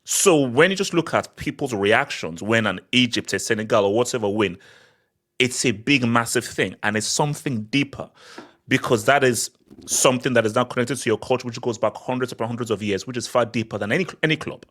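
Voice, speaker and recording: A man from Nigeria makes his case in a loose podcast chat. His pitch sits in an ordinary middle range, and his delivery is animated and emphatic, with quick restarts and doubled words as he pushes each point home. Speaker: podcast co-host. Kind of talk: casual football discussion.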